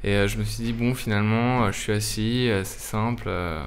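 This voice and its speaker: A man's voice: on the low side, steady tone, fairly quick. A man chatting casually as a guest, hesitant, colloquial and a little self-deprecating. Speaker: guest, web series maker. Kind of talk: casual chat. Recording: faint background noise.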